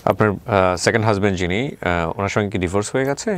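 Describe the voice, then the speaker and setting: A man's voice: fairly low pitch, fast. A man with a Bangladeshi accent hosting a radio interview, earnest and probing, explaining at length and mixing in English words. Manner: mixes English words into his speech. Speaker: radio show host.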